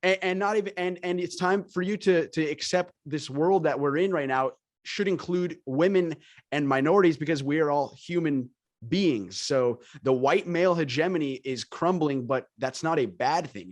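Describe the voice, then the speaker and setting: A man's voice: on the high side, moderate pace, no room sound, clean studio sound. A man hosting a podcast, talking conversationally and emphatically, often repeating phrases for stress. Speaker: podcast host. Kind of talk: conversational interview commentary.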